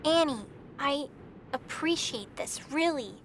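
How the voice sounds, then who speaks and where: A woman's voice: sits high, animated, takes it slowly, slight background noise. A woman as a snarky raven goddess. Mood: earnest. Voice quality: snarky, sharp-tongued. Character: prickly, sarcastic young goddess, raven warrior.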